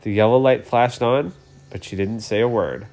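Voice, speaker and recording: A man's voice: medium pitch, moderate pace, darkish tone. A man in his thirties reading a children's story aloud expressively, as a storyteller.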